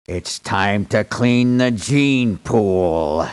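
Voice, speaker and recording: An actor playing a tough, cynical, irritable mercenary in a video game, blunt and dismissive. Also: male voice, on the low side, lively intonation, very slow, smooth, no echo. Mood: indignant. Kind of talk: video game character lines.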